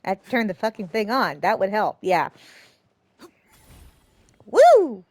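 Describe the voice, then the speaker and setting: A woman's voice: mid-range voice, wildly swooping pitch, takes it slowly, a little mellow, no echo, clean studio sound. A woman giving casual, reactive let's play commentary, often muttering to herself as a game streamer. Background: game music.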